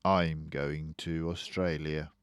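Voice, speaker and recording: A man's voice: extremely low pitch, little pitch movement, takes it slowly, clear, resonant tone, no room sound, clean studio sound, voice pushed down. A man voicing a grumpy, put-upon little boy from a storybook in a sulky, emphatic way. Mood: glum. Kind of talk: storybook character voice.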